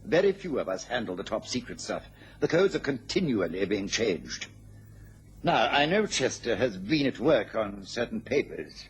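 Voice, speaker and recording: An actor playing a worried, dignified British government official in a radio drama, formal and hesitant. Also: male voice, fairly low pitch, sing-song, moderate pace. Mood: grave, confiding. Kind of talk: mid-century radio drama acting. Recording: noisy old radio recording.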